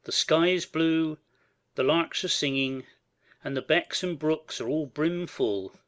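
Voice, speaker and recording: A man playing a devoted, proud gentleman husband in a dramatized audiobook. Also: on the high side, measured pace. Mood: tender, wistful.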